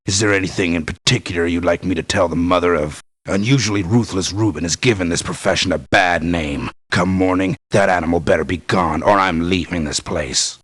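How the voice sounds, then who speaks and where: An actor playing a blunt, cynical, tough-talking mercenary in a video game. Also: male voice, sits low, a little fast, few pauses, a bit bright, no echo. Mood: defiant, fed up. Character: gruff, blunt male mercenary, cynical about pay. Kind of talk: video game character voice lines.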